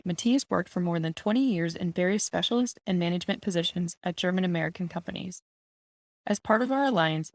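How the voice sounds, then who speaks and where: A woman hosting a business podcast, reading a polished introduction and asking interview questions in a brisk way. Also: fairly low pitch, no echo, clean studio sound. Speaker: podcast host.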